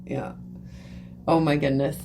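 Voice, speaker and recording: A woman hosting a podcast, talking in a relaxed, agreeable way in casual conversation. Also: sits low, slow pace, gravelly, slight background noise. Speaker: podcast host.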